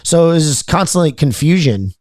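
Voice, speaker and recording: A man's voice: a little high, lively intonation, brisk, a little mellow. A man from Florida with a Florida accent, chatting casually as a podcast host and rambling with frequent repeats and restarts. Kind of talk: casual chat.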